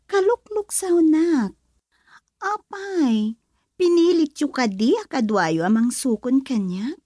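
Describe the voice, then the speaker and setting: High-pitched, pouty young Ilocano woman. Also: expressive, takes it slowly, warm tone, no echo, studio quality. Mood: hurt, pouting. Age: young adult. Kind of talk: Filipino radio drama acting.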